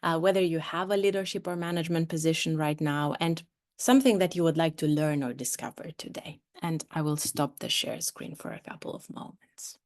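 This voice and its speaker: A woman from Romania, a coach and trainer, speaking in an inviting, measured way as she leads a video-call webinar. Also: sits low, darkish tone. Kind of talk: live online workshop talk.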